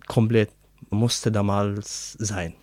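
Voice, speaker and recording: A man with an Arabic accent, a native Arabic speaker, answering interview questions in halting, word-searching German. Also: lowish voice, little pitch movement, darkish tone, no echo. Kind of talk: casual interview.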